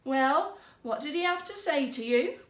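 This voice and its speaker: A woman voicing a scornful, sharp-tongued, quick-witted wife in a fairytale, with theatrical exclamations. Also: sits high, expressive, pitched above the performer's own voice. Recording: roomy, echoing sound.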